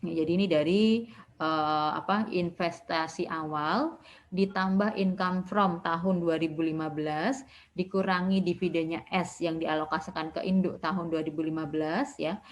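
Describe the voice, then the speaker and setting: A woman's voice: sits low, a little hiss or hum. A woman giving a recorded lecture as an accounting lecturer, explaining step by step in a didactic way with frequent short tag words.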